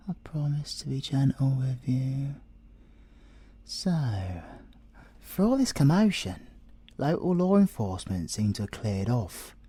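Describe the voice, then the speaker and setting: British guy doing a cheeky siren for asmr roleplay, dark husky voice, swoops around, flirty and whispery. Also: fairly low pitch, no room sound, full character voice. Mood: teasing, smooth. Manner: teasing, theatrical, drops into whispers. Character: cocky, flirtatious male siren under police interrogation.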